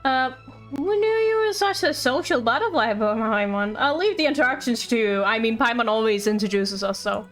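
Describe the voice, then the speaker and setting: Female game streamer, high voice, rambling playful off-the-cuff commentary, soft music underneath. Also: animated, moderate pace. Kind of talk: casual live gameplay commentary.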